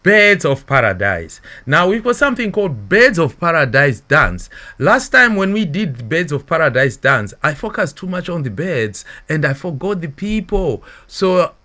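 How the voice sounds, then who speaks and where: A man's voice: a little high, big pitch swings, normal speed, few pauses, bright, forceful tone. A man with a Zimbabwean accent presenting a recorded segment, explaining a dance with enthusiasm.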